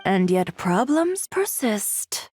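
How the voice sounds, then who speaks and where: An actress playing a practical, composed female costume designer in a video game, measured and matter-of-fact. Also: mid-range voice, big pitch swings, darkish tone. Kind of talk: animated video game dubbing. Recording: music behind the voice.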